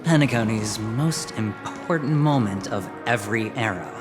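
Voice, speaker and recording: A man's voice: fairly low pitch, lively intonation, moderate pace, close to an everyday voice. An actor playing a smug, flamboyant young businessman in a video game, with a suave, teasing, theatrical delivery. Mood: polite, firm. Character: smug, flamboyant young male corporate gambler. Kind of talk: scripted video game dialogue. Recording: music behind the voice.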